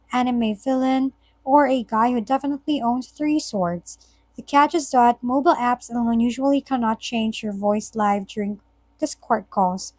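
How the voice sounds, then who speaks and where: High-voiced woman narrating a tech guide. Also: darkish tone, no room sound. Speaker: tech tutorial host. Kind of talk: scripted tutorial narration.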